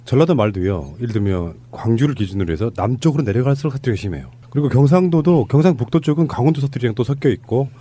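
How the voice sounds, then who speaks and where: A man's voice: mid-range voice, lively intonation, fast talker, hardly any pauses, dark tone, husky. A man hosting a casual panel chat, joking and teasing his guests. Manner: joking, teasing, laughs often. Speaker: host.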